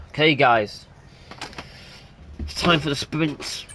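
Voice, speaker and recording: A man's voice: fairly high pitch, takes it slowly. A young man with a nasal voice from hay fever, chatting casually while commentating his racing game. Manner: chatty, excitable. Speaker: gaming YouTuber. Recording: noisy home recording.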